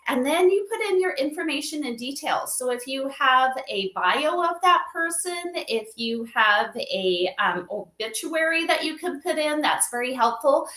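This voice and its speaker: A woman's voice: high voice, animated, measured pace, hardly any pauses, pushed and bright. A woman speaking as an interview guest, explaining her company's work in a conversational way. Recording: echoey room sound.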